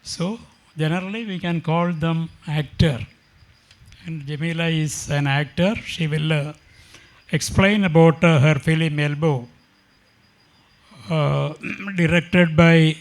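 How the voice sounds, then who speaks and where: A man with an Indian accent formally introducing guests as an event moderator, halting and often repeating and rephrasing himself. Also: fairly high pitch.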